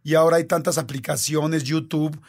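A man's voice: a little high, fairly even intonation, moderate pace, breathy edge. A man with a Mexican Spanish accent, hosting a conversation and talking earnestly and animatedly. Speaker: host. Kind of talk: casual conversation.

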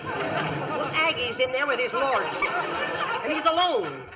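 A woman's voice: high-pitched, sing-song, a little slow, a crowd in the background. An actress playing a scheming, folksy American mother in 1950s radio comedy, blunt and slangy. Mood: eager, scheming. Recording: vintage 1950s radio broadcast.

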